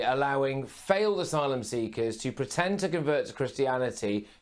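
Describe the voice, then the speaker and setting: Brisk, emphatic young man. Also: a little high. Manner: brisk, emphatic, punchy phrasing. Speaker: TV presenter guest. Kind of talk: opinionated news commentary. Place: remote studio with city view.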